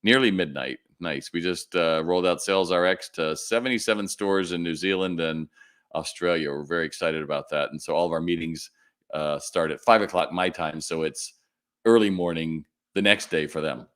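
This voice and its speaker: A man with a slightly raspy voice hosting a casual live Q&A, giving plain-spoken advice and addressing listeners directly. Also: low-pitched, normal speed, fairly bright tone. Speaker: live Q&A host and retail business advisor. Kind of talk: casual live-stream advice, answering viewer questions.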